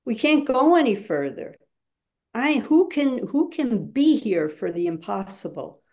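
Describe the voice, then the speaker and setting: A woman's voice: a little high, sing-song, measured pace, warm tone. A woman speaking as a guest facilitator in a webinar, explaining emphatically and acting out examples as she goes.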